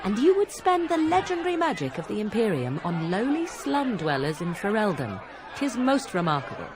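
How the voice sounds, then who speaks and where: A woman's voice: mid-range voice, big pitch swings, normal speed, a crowd in the background, background noise, natural voice. An actress voicing a sardonic witch with a mocking, theatrical delivery in a video game. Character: sardonic, archaic-tongued witch woman.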